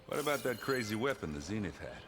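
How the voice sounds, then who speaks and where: Amid clear ambient noise, a casual male companion in a video game. His voice is fairly low and easygoing. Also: sing-song, quick pace.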